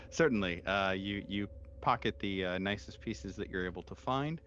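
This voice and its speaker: Man, very low pitch, game master, improvised horror narration, hesitant phrasing, music in background. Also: lively intonation, a little slow, muffled recording, background noise. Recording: music behind the voice.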